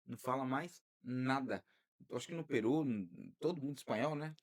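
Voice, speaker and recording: A man hosting a podcast interview, talking in a lively way and drifting into preacherly asides. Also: medium pitch, normal speed. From Brazil. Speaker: podcast host. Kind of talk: casual interview.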